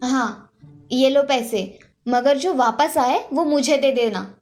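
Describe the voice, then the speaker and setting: Animated story role: practical, fretting middle-aged mum acted by a woman, high register, scolding streak. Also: takes it slowly, faint music behind. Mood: scolding, worried. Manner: fretful, practical. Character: Radha, worried scolding middle-aged mother of a grown daughter. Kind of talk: animated moral story dialogue.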